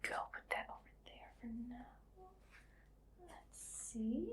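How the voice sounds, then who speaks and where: A woman playing a quirky, friendly art teacher in a soft, whispered ASMR roleplay, speaking in a gentle, unhurried murmur. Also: on the high side, expressive, slightly rough, full character voice. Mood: soft, encouraging. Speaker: ASMR performer.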